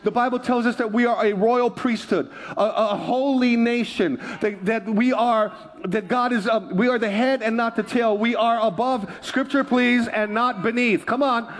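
A man's voice: extremely high pitch, fairly even intonation, normal speed, hardly any pauses. A man from Hawaii preaching a sermon as a pastor, emphatic, repeating phrases and prompting his listeners. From Hawaii, United States.

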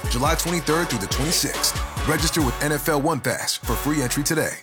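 A man announcing an event promo in an energetic, hyped way. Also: a little high, a little fast, over loud music.

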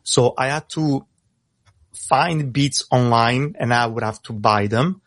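A young man who grew up in Italy, with Italian as his first language, talking casually over a video call in a halting way with many fillers. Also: medium pitch, fairly bright tone, dry recording. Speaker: rapper guest.

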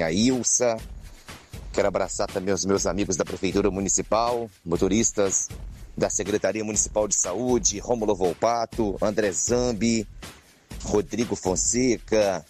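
Man, low voice, measured delivery, announcer, faint music underneath. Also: a little mellow. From Espírito Santo, Brazil. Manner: measured, reads out a list of friends' names. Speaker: radio announcer sending a message.

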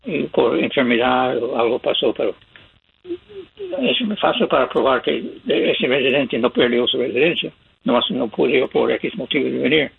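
Gravelly-voiced American man on radio. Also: mid-range voice, big pitch swings, moderate pace. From California, United States. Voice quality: gravelly, husky. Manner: explains step by step with repeated contrasts, chuckles. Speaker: immigration advice radio host. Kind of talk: radio call-in advice talk. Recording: lo-fi phone-like radio sound.

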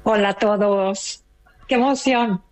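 A woman's voice: medium pitch, slow pace. A retired older woman from Mexico with a Mexican accent, chatting proudly as a caller on a radio talk show. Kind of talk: casual chat.

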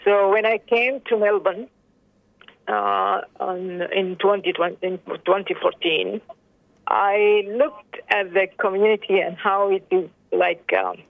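A middle-aged woman talks as a guest over the phone, hesitant and full of fillers. Her voice is gravelly and sits at a middle pitch. The line is tinny and dry. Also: fairly even intonation, slow, dry recording. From Sudan. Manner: hesitant, many fillers and restarts. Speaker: interview guest. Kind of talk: phone interview.

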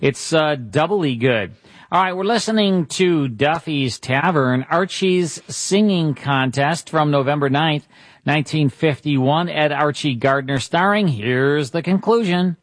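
A man hosting a radio show with relaxed, easygoing ad reads and introductions. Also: fairly high pitch, unhurried, no echo, clean studio sound.

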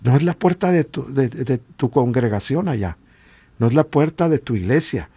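A man with a Latin American Spanish accent and a husky voice, preaching informally and emphatically with repeated rhetorical questions. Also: mid-range voice, animated, moderate pace, warm tone. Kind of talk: informal exhortation sermon.

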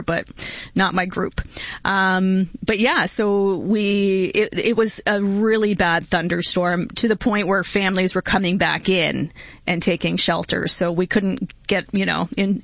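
A woman's voice: medium pitch, vocal fry, a bit bright, no echo, muffled recording. A middle-aged woman from Canada hosting a casual radio chat, talkative and laughing often between stories. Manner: chatty, laughs often, many fillers. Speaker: radio host.

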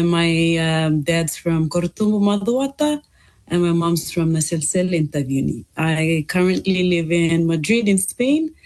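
A woman's voice: fairly low pitch, a little mellow. Reflective woman. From Fiji. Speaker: interview guest, English teacher and linguist. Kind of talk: conversational interview answers.

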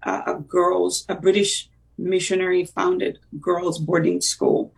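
A woman's voice: on the low side, flat delivery, takes it slowly, creaky, recorded from a distance. A woman from Uganda, a native Luganda speaker, telling her life story reflectively with many "you know" fillers. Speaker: guest sharing testimony. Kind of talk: personal testimony in conversation.

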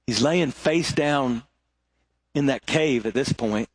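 A middle-aged male pastor preaching a sermon, swinging between rising emphasis and hushed, low moments. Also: takes it slowly, husky.

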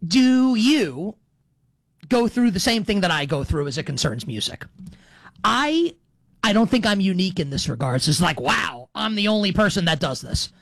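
A man's voice: high-pitched, big pitch swings, normal speed, fairly bright tone, no echo. A middle-aged man hosting a sports talk show in a casual monologue, digressing, repeating and qualifying his points for emphasis.

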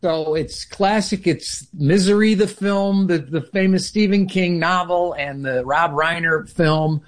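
A man's voice: fairly high pitch, unhurried. A man from upstate New York, a guest improviser, telling stories in a casual radio interview with many restarts and self-corrections. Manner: rambling, self-correcting storyteller.

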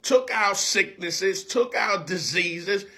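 Bright, high-voiced man preaching. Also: slow. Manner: emphatic, repetitive preacher cadence. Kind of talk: preaching in conversation.

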